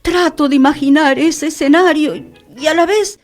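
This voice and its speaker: An actress playing a whimsical, touchy radio hostess in a fantasy radio play, with theatrical, florid phrasing. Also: female voice, high voice, normal speed, natural voice. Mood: indignant. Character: whimsical, touchy radio hostess living among enchantments and dragons. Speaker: radio show host. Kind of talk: scripted radio theatre dialogue.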